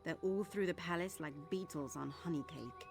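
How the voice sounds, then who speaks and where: A woman's voice: on the low side, normal speed, vocal fry. A woman playing a disgruntled, sardonic palace servant in a video game. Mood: sly, conspiratorial. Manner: grumbling, sardonic. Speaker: game character actor. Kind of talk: video game character acting. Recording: music behind the voice.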